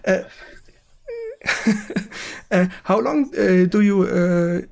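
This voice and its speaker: A stream host who is a native German speaker, talking in hesitant, halting English with a German accent and repeating words. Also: mid-range voice, creaky. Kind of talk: livestream interview in non-native English.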